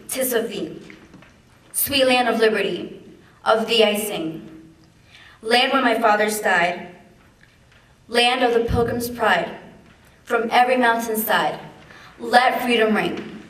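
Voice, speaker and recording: A young woman, a student, giving a declamatory, measured dramatic reading of a famous speech. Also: a little high, a bit bright. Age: young adult. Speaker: student reader. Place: large echoey room at a public event. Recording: echoey hall, far from the mic.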